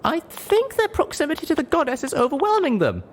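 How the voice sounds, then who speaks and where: A man voicing a refined, thoughtful female pony in a hushed, careful way for an audio story. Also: very high-pitched, wildly swooping pitch, fairly quick, a little hiss or hum, voice pushed up, full character voice. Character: Velvet Remedy, refined female pony, soft-spoken and thoughtful.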